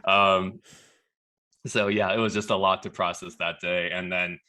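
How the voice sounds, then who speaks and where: A man from Texas tells a story on a podcast, loose and conversational. His voice sits low but has a bright, forward edge to it. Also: unhurried. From Texas, USA. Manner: relaxed, chatty, laughs at the absurd parts. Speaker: podcast guest. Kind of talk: casual storytelling.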